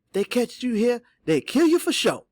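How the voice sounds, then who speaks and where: Male narrator as wary Southern mother, stubborn, protective, emphatic dialect, raised swooping pitch, storybook reading. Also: big pitch swings, normal speed, darkish tone, no echo, studio quality, full character voice. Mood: skeptical.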